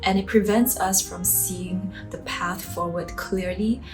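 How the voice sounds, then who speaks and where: A woman's voice: mid-range voice, steady tone, measured pace, over music. A woman in her late twenties from Singapore, earnestly sharing personal reflections in a vlog. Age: late 20s. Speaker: vlogger. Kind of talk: reflective personal talk to camera.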